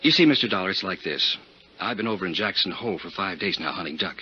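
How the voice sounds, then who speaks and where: An actor playing a flustered, gossipy American insurance broker, earnest and confiding, in a 1950s radio drama. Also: male voice, low-pitched, animated, quick pace, slightly rough. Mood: anxious. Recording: muffled 1950s radio recording.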